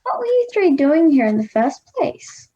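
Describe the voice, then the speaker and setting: A woman's voice: high-pitched, sing-song, normal speed, dark tone, highs cut off. An Australian girl of ten or eleven playing a plucky, defiant girl from the past in an amateur radio play. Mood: curious. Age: child, 10 to 11. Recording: home video-call recording.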